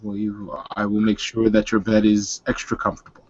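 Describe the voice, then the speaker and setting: A man playing an earnest, courteous werewolf suitor in a tabletop game, with formal, deliberate and slightly halting speech. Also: fairly low pitch, monotone, highs cut off, natural voice.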